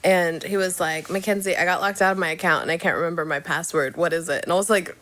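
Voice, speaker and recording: A woman's voice: on the low side, fairly even intonation, fast talker, fairly bright tone. A woman casually telling an anecdote in a chatty, amused way.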